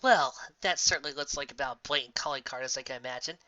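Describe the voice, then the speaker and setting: Testy storybook pegasus mare, male reader, no-nonsense and dry, forceful tone, close dry recording. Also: mid-range voice, quick pace, no room sound, muffled recording, lightly acted. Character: gruff, temperamental but sensible female pegasus. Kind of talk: fan fiction storybook reading.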